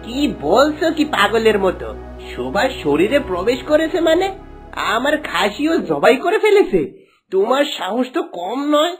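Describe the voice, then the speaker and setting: A woman as a cartoon husband, high voice, greedy, full of bluster, cowardly whine, music underneath. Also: big pitch swings, normal speed. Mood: puzzled, indignant. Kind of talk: children's cartoon dialogue.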